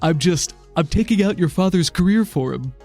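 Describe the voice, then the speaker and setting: A man's voice: high-pitched, lively intonation, moderate pace, a little mellow, faint music behind, pitched above the performer's own voice. A man voicing a smug male game character who feigns cheerful politeness while needling, in a pointed, mock-pleasant delivery. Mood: smug, mock-friendly.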